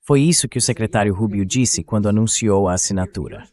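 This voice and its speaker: A man's voice: lowish voice, brisk, darkish tone. A man with a Brazilian accent reading a translated voice-over of political news commentary as the show's host.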